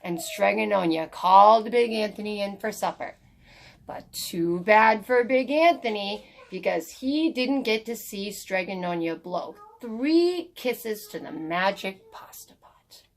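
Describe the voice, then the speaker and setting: A woman reading a children's story aloud in an expressive storytelling style. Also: medium pitch, a little slow, vocal fry.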